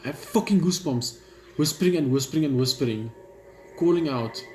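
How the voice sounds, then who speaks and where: A man's voice: a little high, brisk, soft background music. Man reading game text in a roomy room. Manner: steady narrating read with blunt side remarks. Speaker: gamer. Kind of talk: reading a visual novel aloud.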